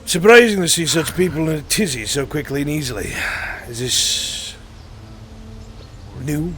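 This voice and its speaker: A man playing a formal, blunt travelling government recruiter in an online roleplay, measured and faintly condescending. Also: a little high, expressive, fairly bright tone, a little hiss or hum. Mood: impatient, dry. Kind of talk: improvised roleplay acting in a virtual world. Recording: music behind.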